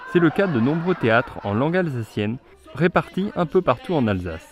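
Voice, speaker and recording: A young man narrating a news report in a steady, scripted voice-over. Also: medium pitch. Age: young adult. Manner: steady read delivery.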